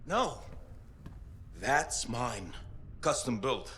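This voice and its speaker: A man playing a gruff, protective veteran pilot of an armored suit, blunt and emphatic, in a video game drama. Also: medium pitch, very animated, drawn-out words, sharp tone, a little hiss or hum, exaggerated voice. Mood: exasperated, scornful. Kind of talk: cinematic game drama acting.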